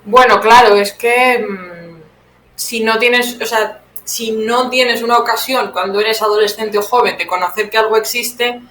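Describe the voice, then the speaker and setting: A woman's voice: a little high, steady tone, measured pace, fairly bright tone, reverberant, a little hiss or hum, poor audio. A woman in her thirties with an accent from Spain, talking casually and reflectively as an interview guest, often adding tag questions. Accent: Spanish, from Spain. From Teruel, Spain. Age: 30s.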